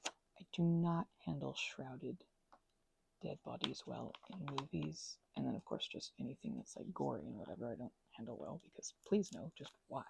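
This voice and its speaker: A woman making soft-spoken ASMR at home, rambling as she crafts, full of fillers and dipping into whispers now and then. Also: extremely low pitch, lively intonation, moderate pace, creaky, a little hiss or hum, rough recording. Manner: meandering, filler-heavy, drifting into whispers. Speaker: ASMR creator. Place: indoors at home.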